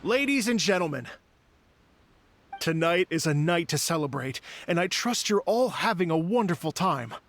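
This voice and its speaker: High-voiced young festival presenter. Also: male voice, animated, normal speed, fairly bright tone. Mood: grand, celebratory. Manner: formal, showman-like. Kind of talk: anime video game voice acting.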